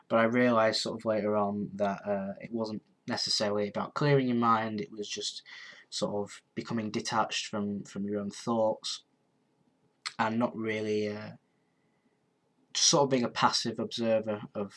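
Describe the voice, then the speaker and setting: A man, chatting casually on a live stream and answering comments. His nose is blocked and sniffly, and he rambles, pausing on ums and restarting his sentences. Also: fairly low pitch. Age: 20s. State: congested, sniffly.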